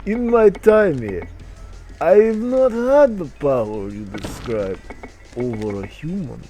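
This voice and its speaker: A man voicing a polite, stilted alien block in slow broken English, in a comedic reading. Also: on the high side, very animated, mellow, soft background music. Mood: suave. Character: male Tetris block alien, polite, brave, stilted foreigner.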